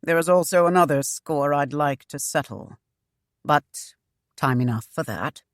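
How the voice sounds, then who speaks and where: A calm, guarded young convict man, as a woman reads him, speaking clipped and old-fashioned. The voice sits low and close in a dry sound. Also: a little slow, no echo.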